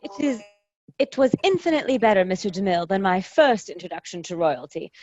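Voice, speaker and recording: Former royal dresser, played by a woman: formal, poised raconteur, medium pitch, slightly muffled call sound. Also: lively intonation, fairly quick, a little mellow, natural voice. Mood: poised, genteel. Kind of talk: scripted 1930s-style radio interview, amateur table read. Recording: dull online call audio.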